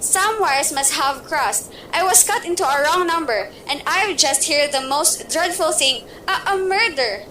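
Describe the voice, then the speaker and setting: A woman's voice: high-pitched, animated, measured pace, few pauses, pushed and bright, exaggerated voice. A woman acting a nervous, bedridden wife alone at night, fretful and insistent, in an amateur radio play. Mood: anxious.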